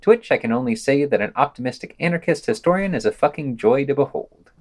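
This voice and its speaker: A man's voice: medium pitch, lively intonation, quick pace. A man co-hosting a podcast, reading scripted news summaries and quotes with wry, sardonic asides. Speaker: podcast host.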